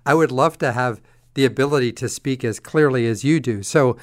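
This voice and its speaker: Halting friendly male podcast host. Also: medium pitch, no room sound, clean studio sound. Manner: friendly, halting, stumbles on restarts. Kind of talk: conversational interview.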